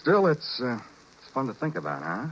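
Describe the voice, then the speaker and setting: An actor playing a slangy, jovial, leering office buddy in a 1950s radio drama. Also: male voice, medium pitch, wildly swooping pitch, moderate pace, a bit bright, a little hiss or hum. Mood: jovial, sly. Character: breezy, leering wisecracking office pal, adult man. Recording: muffled vintage 1950s radio recording.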